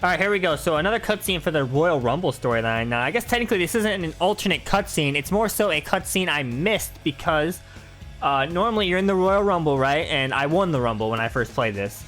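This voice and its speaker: A man's voice: on the high side, lively intonation, fairly quick, fairly bright tone, soft background music. A man giving casual, conversational gameplay commentary like a chatty fan. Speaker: video game commentator.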